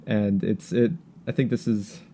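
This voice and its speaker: A man hosting a podcast with casual political commentary, talking in a halting, rambling way with stammered repeats. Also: lowish voice, flat delivery, warm tone, slight background noise. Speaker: podcast host.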